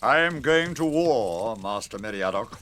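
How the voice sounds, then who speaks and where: A man's voice: mid-range voice, lively intonation, measured pace, close to an everyday voice. An actor playing a stern but kindly old warrior king in a radio drama, solemn and formally commanding. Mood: solemn, firm.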